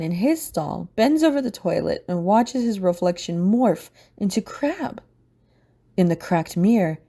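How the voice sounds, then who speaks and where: Mellow, very expressive woman narrator. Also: medium pitch. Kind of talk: scripted audio drama narration.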